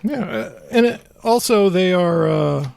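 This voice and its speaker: Male podcast host, slightly high-pitched with heavy fry, conversational and halting, thoughts trailing off. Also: animated, darkish tone, no room sound. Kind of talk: casual local-politics podcast chat.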